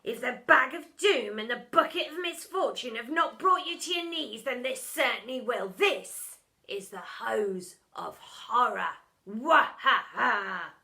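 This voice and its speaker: A woman's voice: a little high, expressive, measured pace, vocal fry, pushed and bright, exaggerated voice. Woman acting out a menacing, villainous male science teacher. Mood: gloating. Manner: menacing, theatrical, barking.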